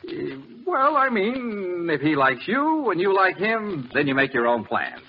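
A scheming, exasperated investigator from a 1940s radio comedy. His fairly high voice leaps up and down as he stammers and wheedles, on a faintly noisy old recording. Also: sing-song, unhurried, lo-fi sound. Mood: flustered. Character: flustered fast-talking male private investigator, scheming and cajoling.

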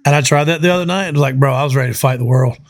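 A man's voice: mid-range voice, rapid-fire. A man in his thirties hosting a podcast, talking casually and enthusiastically, full of bro-and-dude slang. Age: 30s. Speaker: host.

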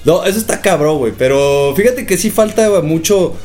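A young Mexican man with a Guadalajara accent talks in a rapid, slang-heavy way with plenty of swearing. His voice sits a bit on the high side. Faint music plays behind him. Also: animated, a little mellow. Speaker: podcast co-host. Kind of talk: casual podcast chat.

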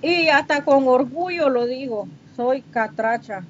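A woman's voice: sits high, a little slow. A woman with a Honduran Spanish accent chatting casually with viewers on a livestream. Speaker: livestreamer chatting with viewers while doing makeup. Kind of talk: casual chat reading and answering comments. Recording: muffled, noisy livestream audio.

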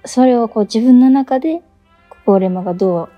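A young woman in casual radio conversation as a co-host, attentive and quick to agree. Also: on the high side, dull audio. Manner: attentive, frequent short agreeing responses. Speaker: radio co-host and stage actress. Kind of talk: casual radio chat about a theatre production. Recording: background music under voice. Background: music.

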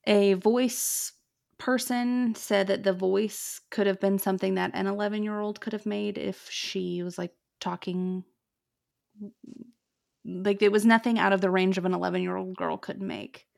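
A woman with a fairly deep voice and some vocal fry narrates a true ghost story for podcast listeners. She talks casually, padding her sentences with "like" and "um" as she goes. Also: steady tone, measured pace, a little mellow. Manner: chatty, lots of "like" and "um". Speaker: podcast host telling the story.

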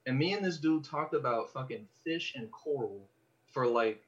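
An American man chatting casually on a podcast, telling personal stories in an animated, rambling, slangy way and swearing freely. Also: medium pitch, moderate pace, highs cut off. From Los Angeles area, United States. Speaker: podcast host.

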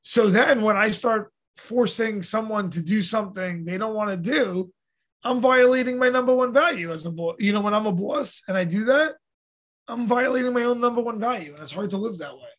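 High-voiced New man chatting. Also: a little fast, a bit bright. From New York. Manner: rambling, swears freely, acts out his own quotes. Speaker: podcast host, mixed martial arts fighter. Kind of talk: casual profane chat.

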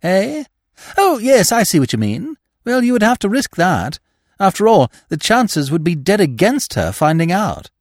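A man's voice: sits high, big pitch swings, moderate pace, no echo, clean studio sound, pitched above the performer's own voice, full character voice. A man voicing a breezy, cheerfully scheming upper-class young Englishman in a comic audiobook, eager and full of slangy exclamations. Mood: breezy urging. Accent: British. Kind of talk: comic audiobook character reading.

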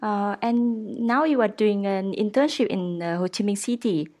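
A woman's voice: medium pitch, moderate pace, a little mellow. A woman interviewing a guest, asking questions in an encouraging way. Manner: encouraging, frequent backchannel murmurs.

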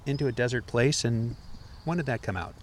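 A man's voice: medium pitch, a little fast, a little hiss or hum. A man, hosting an interview and asking eager, stumbling questions. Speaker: podcast host. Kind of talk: conversational interview.

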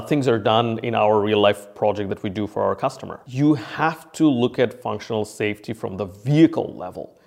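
A man's voice: medium pitch, creaky. A man hosting a podcast, explaining like an expert trainer in a conversational, emphatic way and repeating key phrases for stress. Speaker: podcast host and functional safety consultant and trainer.

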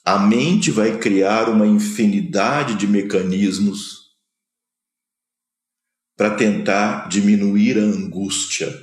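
A man from Minas Gerais, Brazil, speaking with a Minas Gerais accent, gives a slow, measured spiritual lecture, pausing between short phrases. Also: on the low side, fairly even intonation, echoey room. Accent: Brazilian Portuguese, Minas Gerais. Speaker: spiritual teacher. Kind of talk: spoken lecture on scripture.

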